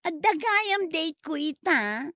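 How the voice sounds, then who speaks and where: An actress with a Filipino accent playing a chatty, lovestruck woman in a family comedy drama, with an expressive, drawling delivery. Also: extremely high pitch, slow pace, no echo, clean studio sound, heavily acted. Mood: lovestruck, playful. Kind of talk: comedy audio drama acting.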